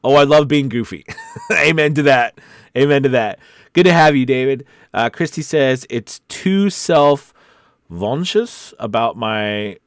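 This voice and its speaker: An American man with an average-pitched voice talks like a friendly coach on a livestream. He is informal and encouraging, circling back over phrases to stress them. The sound is close and dry, with very little room on it. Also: lively intonation, a little slow, no room sound. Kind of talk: casual livestream chat, reading and answering viewer comments.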